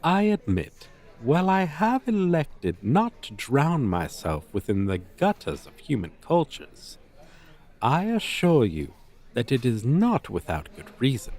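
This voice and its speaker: A man's voice: medium pitch, a little mellow, heavily acted. A man playing a pompous, flirtatious dragon teacher in an ASMR roleplay, speaking in florid, formal, condescending phrases. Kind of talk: ASMR roleplay, slow theatrical delivery.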